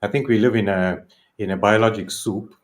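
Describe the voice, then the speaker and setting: Man, lowish voice, panelist, unscripted discussion comment. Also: steady tone, brisk.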